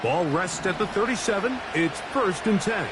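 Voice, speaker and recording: A man's voice: high voice, animated, moderate pace. A man calling football play-by-play in punchy, clipped announcer phrases. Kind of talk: sports game commentary. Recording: loud crowd and stadium noise. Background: roaring crowd, game ambience.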